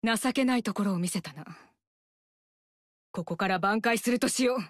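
A woman's voice: mid-range voice, moderate pace, no echo, close to an everyday voice. An actress voicing a proud young female warrior in a game, firm and commanding. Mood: approving. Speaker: game character. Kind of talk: game voice lines. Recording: dry studio recording.

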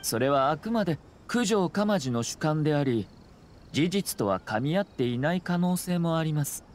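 A man voicing a composed young nobleman in an anime game, speaking in a measured, formal, polite way over faint background ambience. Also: a little high, animated, a little hiss or hum, close to an everyday voice. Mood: calm, reflective. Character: composed, courteous young nobleman and clan commissioner.

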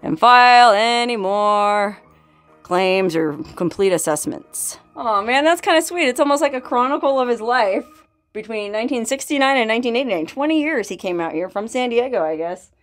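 A woman's voice: fairly high pitch, animated, moderate pace, dry recording, soft background music. A woman in her forties, filming herself on a phone while exploring an old mine and a desert canyon, talking casually with awed exclamations and laughs. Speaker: solo explorer vlogger. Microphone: handheld phone at arm's length.

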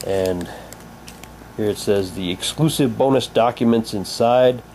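A man giving a halting, unhurried, casual commentary as he shows and reads out items for an unboxing video. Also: fairly low pitch. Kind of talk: casual unboxing commentary, reading packaging aloud. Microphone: handheld camera's built-in mic. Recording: noisy home camcorder, steady hum.

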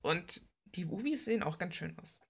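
A woman's voice: on the low side, lively intonation, moderate pace, vocal fry, husky. A woman chatting casually and bluntly in slangy, rambling fashion, like an informal art vlogger. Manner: informal, blunt, slangy, gushing about details. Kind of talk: casual rambling chat while drawing.

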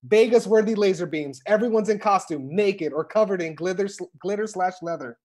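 A woman's voice: mid-range voice, a little fast, a little mellow. A woman serves as the reader at a table read. She narrates scripted action in a mid-range voice. Her delivery is that of stage directions for a comedy. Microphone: video call.

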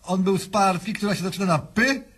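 A man's voice: high-pitched, fairly quick, a bit bright, slightly rough. A man playing a smug, jocular presidential candidate in a radio comedy sketch, pompous and mangling his grammar. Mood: boastful. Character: smug, cheerful male presidential candidate, crude, self-satisfied patient. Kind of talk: satirical radio sketch acting.

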